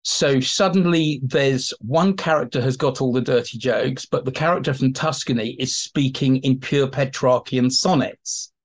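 A man's voice: mid-range voice, normal speed, hardly any pauses. A man speaking as an expert guest in an interview, explaining history in a chatty, informal way and slipping into acted-out asides.

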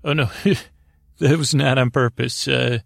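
Creaky-voiced man rambling through a bedtime podcast. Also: medium pitch, fairly even intonation, dry recording, studio quality. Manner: meandering, muddled, halting with false starts. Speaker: podcast host.

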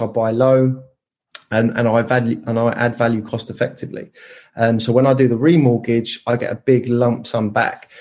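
A man's voice: medium pitch, darkish tone. A man in his thirties, a podcast guest explaining his plans in casual chat, eager and full of restarts. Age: 30s. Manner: enthusiastic, many restarts and fillers.

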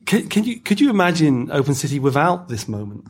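A man's voice: on the high side, sing-song, a little fast, slightly rough. A man working as interviewer in a literary conversation, putting questions tentatively and repeating words as he feels his way into them.

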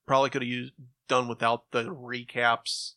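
A man talks casually as a podcast co-host, his voice bright and crisp-edged in a dry, close space. He reviews stories in slangy language and slips into imagined reactions to make his points. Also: medium pitch, flat delivery, normal speed, no echo. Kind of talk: casual review chat about old-time radio.

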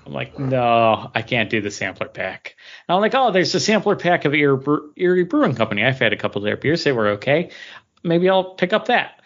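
A man's voice: medium pitch, fairly quick, highs cut off. A man co-hosting a podcast with casual banter, telling stories and acting out bits of dialogue. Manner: animated storytelling, acting out dialogue. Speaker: podcast co-host.

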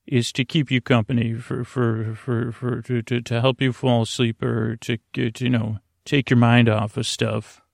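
A man with a gravelly, creaky voice murmurs a meandering bedtime monologue as a sleep podcast host. He wanders gently from tangent to tangent, often hesitating and circling back. The sound is close and very dry, as in a small closet lined with blankets. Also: mid-range voice, no room sound. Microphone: close microphone, monitored on headphones.

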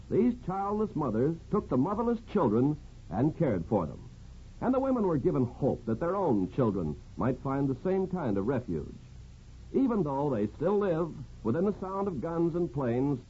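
A man's voice: on the high side, mellow, slightly rough. A man narrating a script as a 1950s radio announcer, earnest and solemn. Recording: dull old radio with hiss.

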